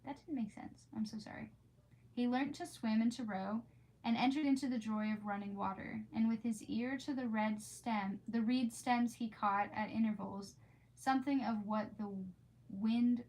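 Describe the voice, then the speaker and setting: A woman's voice: mid-range voice, fairly even intonation, normal speed, a little mellow. A woman streamer who reads storybooks aloud and chats casually with viewers in an informal, gushing, rambling way. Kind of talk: storybook read-aloud and casual livestream chat. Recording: clearly noisy background.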